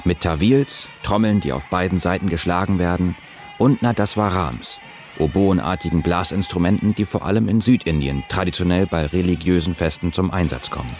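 Calm male narrator, very low pitch, documentary read over music. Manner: calm, measured reading.

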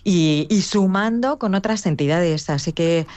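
A woman guest speaks in an interview in a low voice. She answers reflectively, hesitating and adding tag questions as she builds her points. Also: a little slow, darkish tone. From Castilla-La Mancha, Spain. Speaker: guest, foundation director.

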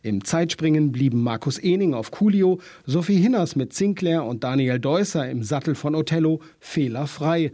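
Male sports reporter, slightly high, mellow tone, dry close mic, steady matter-of-fact read. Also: normal speed, few pauses, dry recording. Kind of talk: scripted news report.

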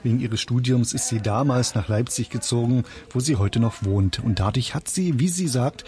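Mid-pitched male radio reporter. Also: moderate pace, dark tone. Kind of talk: scripted report narration.

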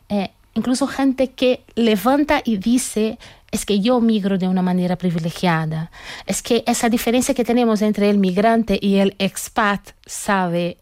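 A woman's voice: mid-range voice, a little mellow, dry recording. A woman from Brazil with a Brazilian accent, a guest in a radio interview, talking reflectively with many fillers and tag questions. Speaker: guest artist.

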